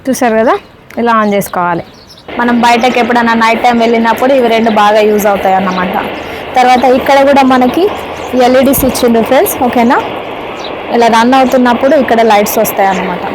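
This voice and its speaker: A woman with a Telangana Telugu accent speaks in a fairly high voice. She gives a casual, explanatory gadget demo, often checking that viewers follow. Faint noise sits behind her. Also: fast talker. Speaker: product reviewer. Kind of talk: casual hands-on gadget demonstration.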